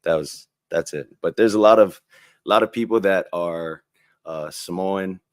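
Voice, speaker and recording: A man from Hawaii answering an interview question, halting and searching for his words. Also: deep voice, no room sound, clean studio sound. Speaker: football player in interview.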